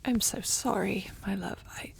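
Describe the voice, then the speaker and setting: A woman's voice: medium pitch, measured pace, vocal fry, whispered, poor audio. A woman playing a devoted dragon queen and wife, fierce yet tender, speaking formally and intimately in an audio roleplay. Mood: tender, relieved. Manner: intimate, formal storybook speech.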